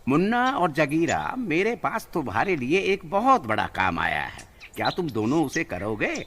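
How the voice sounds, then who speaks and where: A man's voice: a little high, wildly swooping pitch, normal speed, a bit bright, full character voice. A man playing a wealthy, shady merchant offering illegal deals in a cartoon moral story. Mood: conspiratorial. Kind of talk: animated moral-story dialogue in Hindi.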